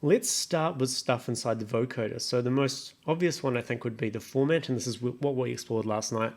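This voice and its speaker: A man's voice: mid-range voice, fairly quick, slight background noise. A man hosting a casual music production tutorial, thinking aloud as he talks through each step in an exploratory way. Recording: electronic music playing behind voice. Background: electronic synth sounds and music.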